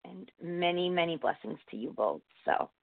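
A woman's voice: on the low side, sing-song, normal speed, vocal fry. Effusive woman caller in her forties on the phone. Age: 40s. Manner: warm, effusive, rambling, says she has a young voice. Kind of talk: call-in conversation. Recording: tinny phone line, dry.

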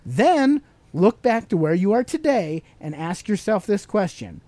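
A man's voice: sits high, sing-song, measured pace, warm tone, no echo. A man hosting a podcast, teaching motivational ideas in a conversational, explanatory way and putting questions to the listener. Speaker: podcast host.

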